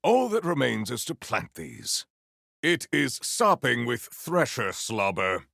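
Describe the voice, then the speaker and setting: Grandiose, gravelly warrior-poet. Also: male voice, mid-range voice, very animated, takes it slowly, fairly bright tone, no echo, studio quality, full character voice. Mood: dry, formal. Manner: grandiose, formal, theatrical diction. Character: armored warrior-poet man, proud, formal, battle-eager. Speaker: video game voice actor. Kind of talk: scripted game character lines.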